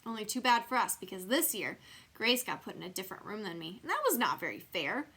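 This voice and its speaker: Storybook role: dramatic, excitable six-year-old girl, voiced by a woman, bright and forceful, big pitch swings, childish phrasing. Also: a little fast, husky, exaggerated voice. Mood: grumbling, confiding. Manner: childish exclamations and quirky grammar. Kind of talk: animated children's storybook character voice.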